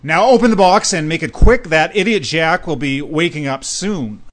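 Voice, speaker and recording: A man's voice: fairly high pitch, moderate pace, natural voice. An actor playing a cocky, greedy, bossy crime boss in a comic radio play, snapping insults at his underling. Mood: impatient.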